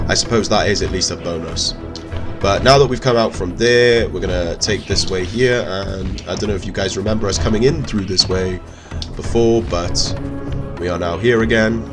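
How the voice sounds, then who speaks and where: A man's voice: sits low, normal speed. A man with a cold, giving rambling, chatty gameplay commentary in a stuffy voice. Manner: rambling, chatty, self-correcting. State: stuffy with a cold and sore throat. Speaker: let's play host. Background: game music.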